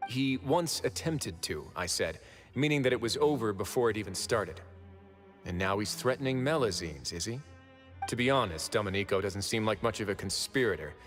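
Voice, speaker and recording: An actor playing a shrewd, composed prison duke in an anime video game, sardonic, unhurried and self-assured. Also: male voice, on the low side, animated, fairly bright tone, faint music behind. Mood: musing, probing.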